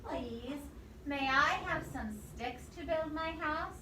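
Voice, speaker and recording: Woman reading as an earnest high-voiced little javelina. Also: slow pace, bright, forceful tone, heavily acted. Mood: polite. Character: little hairy javelina, young male wild pig. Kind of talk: children's storybook read-aloud. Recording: echoey, distant microphone, noisy room.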